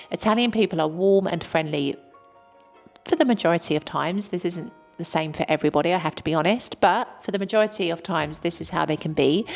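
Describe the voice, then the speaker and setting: A British woman vlogs straight to camera, earnest and candid, with a lowish voice. She talks in long, flowing sentences, and faint music plays softly behind her. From Britain. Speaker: vlogger living abroad. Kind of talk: casual talk to camera. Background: faint background music.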